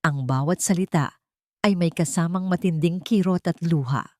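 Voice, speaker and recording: A woman's voice: on the low side, normal speed, a little mellow, no echo, clean studio sound. A woman narrating a scripted dramatic story.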